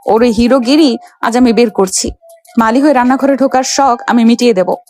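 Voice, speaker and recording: Woman acting a haughty, high-voiced rich boss lady. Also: fairly quick, a little mellow, close to an everyday voice. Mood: scheming. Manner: scolding, imperious, threatening. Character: haughty, cruel young rich woman boss berating her servant. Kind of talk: Bengali audio story acting. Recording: background music under the voice.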